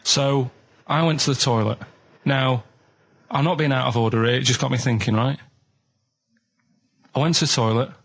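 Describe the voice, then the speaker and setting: A man's voice: mid-range voice, steady tone, normal speed, long pauses, a bit bright. A man with a Mancunian accent telling casual anecdotes as a radio sidekick, earnest and rambling, checking in with short tags as he goes. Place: radio studio. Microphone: studio microphone.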